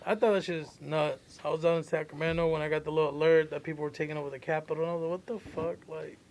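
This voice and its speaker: A man's voice, pitched well above most men's, in a casual podcast conversation. He speaks informally as a co-host, with plenty of slang and profanity. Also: flat delivery, rapid-fire, a little mellow, a little hiss or hum. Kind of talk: casual group chat.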